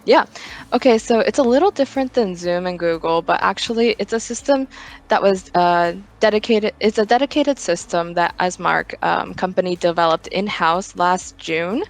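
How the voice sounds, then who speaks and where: An American interviewer casually hosting an online roundtable, speaking with many hesitant ums. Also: male voice, high voice, normal speed, creaky. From United States. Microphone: video call.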